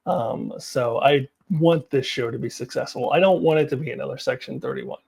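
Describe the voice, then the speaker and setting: A woman's voice: rumbling low, moderate pace, creaky, a little mellow, slightly rough. A woman hosting a vlog, giving casual opinion commentary in a chatty way, thinking aloud as she goes. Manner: chatty, thinking aloud, laughs through remarks. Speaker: host of a fan commentary vlog.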